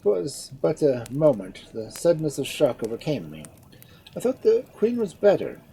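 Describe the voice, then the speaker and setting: A woman's voice: low voice, very animated, normal speed, gravelly, mellow, pitched above the performer's own voice, full character voice. A woman voicing a passionate young male lord who pleads and exclaims in a storybook reading. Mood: earnest.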